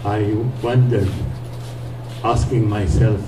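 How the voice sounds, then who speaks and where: Hoarse older man speaking slowly. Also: fairly low pitch, steady tone. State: hoarse, losing his voice. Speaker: guest speaker. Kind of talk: informal speech to an audience. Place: small community hall. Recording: roomy hall, noisy, from far off.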